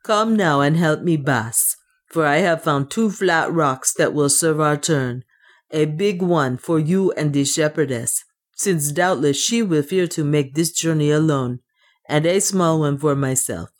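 A woman's voice: low voice, a little slow. A woman voicing a loyal, brave dwarf manservant in an audiobook adventure, speaking in an earnest, deferential and practical way. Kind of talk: dramatised audiobook dialogue.

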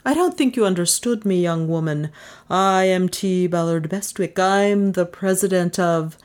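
A woman's voice: fairly low pitch, measured pace, darkish tone, close to an everyday voice. A pompous male university president as a woman narrator plays him, plummy and self-important. He scolds in a storybook reading with stiff condescension. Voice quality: plush, plummy.

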